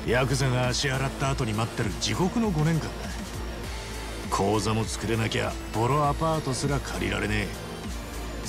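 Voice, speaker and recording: An actor playing an earnest, hot-tempered former yakuza hero in a video game, with rough but decent speech. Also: male voice, medium pitch. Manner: rough slangy speech, polite to workmates. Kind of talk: dramatic video game voice acting.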